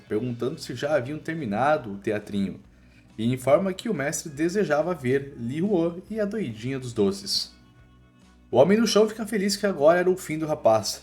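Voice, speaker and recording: A Brazilian man narrating a scripted comic recap in a colloquial, dramatic storytelling manner. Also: on the high side. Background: music.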